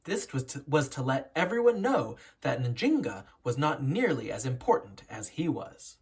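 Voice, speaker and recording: An educator reading a children's picture book aloud in a storytelling, instructive way with deliberate emphasis and a slightly husky voice. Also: mid-range voice, very animated.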